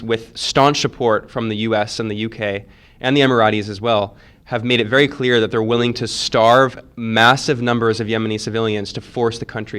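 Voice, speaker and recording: A man speaking on a conference panel while sick and congested, emphatic and forceful. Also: on the low side. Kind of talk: conference talk. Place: conference room.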